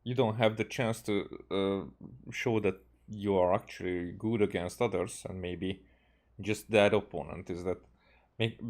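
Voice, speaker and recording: A man from Hungary with a Hungarian accent, a native Hungarian speaker, giving casual, halting game commentary as he thinks aloud. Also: on the low side, a little mellow. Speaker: game streamer.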